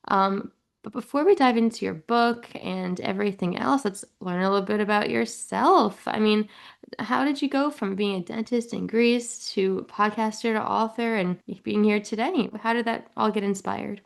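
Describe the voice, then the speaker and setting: A woman's voice: mid-range voice, normal speed, vocal fry. A woman hosting a podcast, reading a polished guest introduction and then asking easy, conversational questions. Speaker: podcast host. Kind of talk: podcast interview.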